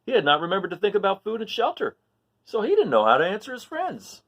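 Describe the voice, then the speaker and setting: A man reading a picture book aloud to children, pausing to count along and quiz his young listeners. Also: sits high, wildly swooping pitch, a bit bright.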